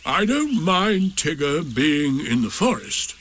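A man voicing a gloomy, sardonic old donkey in a storybook, with a ponderous, gruff, aggrieved delivery. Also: fairly high pitch, very animated, slow pace, fairly bright tone, exaggerated voice. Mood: glum, sarcastic. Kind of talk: storybook character reading.

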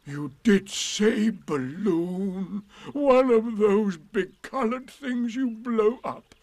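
A man voicing a gloomy, sardonic old donkey from a children's storybook, with a drawn-out, lugubrious delivery. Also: high-pitched, a little mellow, dry recording, voice pushed up, heavily acted. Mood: incredulous, doleful. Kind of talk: children's storybook character reading.

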